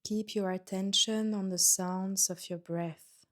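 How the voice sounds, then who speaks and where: Slow-paced woman guiding a meditation. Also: medium pitch, fairly even intonation. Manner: gentle, soothing, unhurried instruction with pauses. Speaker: yoga nidra guide. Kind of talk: guided meditation.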